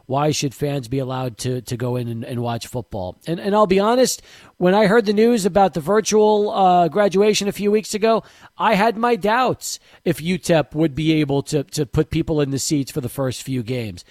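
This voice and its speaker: A man's voice: a little high, normal speed, a little mellow, no echo. A man hosting a sports radio show, talking in a loose, rambling way with many restarts and repeated words. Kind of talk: casual radio interview.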